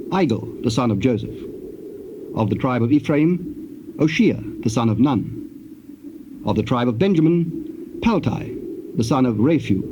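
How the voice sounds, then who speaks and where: A man narrating scripture from a script in a measured, formal and solemn manner. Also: medium pitch. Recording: dull noisy tape, birds behind.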